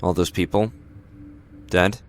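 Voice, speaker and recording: A man's voice: low voice, fairly even intonation, slow pace, slight background noise. A man playing a dutiful, earnest young starship ensign in a science fiction audio drama. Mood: dismayed.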